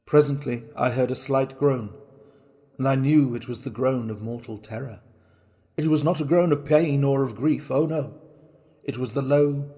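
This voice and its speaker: A man acting a cunning, boastful madman confessing a murder in a dramatic gothic horror reading, with theatrical, drawn-out phrasing. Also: mid-range voice, vocal fry, a little mellow. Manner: theatrical, deliberate, drawn-out words.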